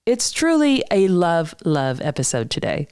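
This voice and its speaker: A woman's voice: on the low side, sing-song, unhurried, darkish tone, clear, resonant tone, no echo, studio quality. A woman hosting a podcast, upbeat and mixing in Spanish words as she introduces her guest and asks questions. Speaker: podcast host. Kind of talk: scripted intro then interview.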